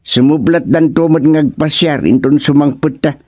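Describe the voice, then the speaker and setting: An actor playing an easygoing but grumbling village man in a radio comedy drama, with an Ilocano accent and drawn-out exclamations. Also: on the high side, fairly even intonation, a little mellow, dry recording, clean studio sound, natural voice. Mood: chatty, explaining.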